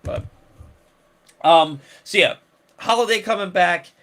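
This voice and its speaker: A man's voice: sits high, takes it slowly, fairly bright tone. A man hosting a casual sports talk show, rambling with many restarts and fillers. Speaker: sports podcast host.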